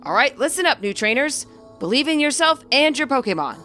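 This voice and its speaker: A woman's voice: sits high, sing-song, fairly quick, sharp tone, faint music behind, full character voice. A woman voicing a confident young male champion, a showy big brother giving declamatory encouragement in a game story. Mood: proud, encouraging.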